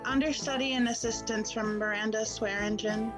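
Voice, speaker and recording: A woman's voice: fairly high pitch, fairly even intonation, moderate pace, over music, close to an everyday voice, poor audio. A woman acting as the narrator of a radio play, reading scripted scene-setting narration. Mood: neutral.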